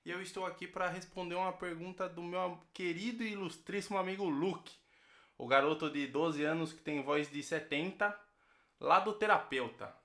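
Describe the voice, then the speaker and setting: A man's voice: sits high, normal speed, fairly bright tone. A Brazilian man, a podcast host, giving a casual recorded testimonial as a guest. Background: music.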